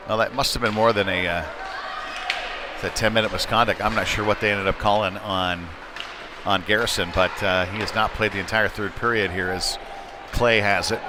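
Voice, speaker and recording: A man doing live play-by-play hockey commentary in clipped running phrases that lift with the action. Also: fairly low pitch. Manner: clipped running phrases, excited on big plays. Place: indoor ice rink during a game. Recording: clear rink noise behind the voice.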